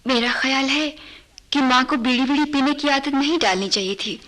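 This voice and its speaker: A woman's voice: sits high, moderate pace, a bit bright, rough recording. A woman playing a clerk's wife in a radio drama, speaking in a pointed, questioning way. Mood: concerned, disapproving. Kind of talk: Hindi radio drama acting.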